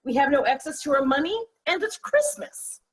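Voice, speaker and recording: A woman's voice: high voice, lively intonation, normal speed. A woman playing an exasperated, indignant older wife and mother with dry formality in a table read. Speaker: actor in a virtual table read. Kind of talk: stage comedy-drama table read.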